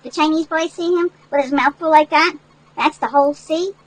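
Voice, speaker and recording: An older woman reading a picture book aloud to children in an animated, folksy way, with chatty asides that explain things. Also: high-pitched, moderate pace, poor audio. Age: older, great-grandmother. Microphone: headset.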